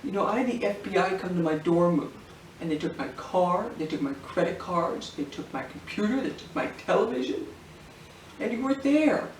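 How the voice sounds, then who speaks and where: A man's voice: high-pitched, animated, normal speed. A man acting a bitter, wounded adult son who accuses his father in emphatic, repetitive bursts in a filmed monologue. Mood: indignant, accusing. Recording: echoey room, distant mic, faint hiss.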